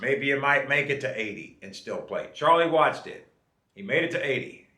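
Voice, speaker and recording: A man's voice: mid-range voice, expressive, moderate pace, bright, forceful tone. Folksy fifties man, echoey room. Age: 50s. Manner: folksy, repeats "okay?" to the viewer. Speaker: drummer and channel host. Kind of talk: casual advice vlog.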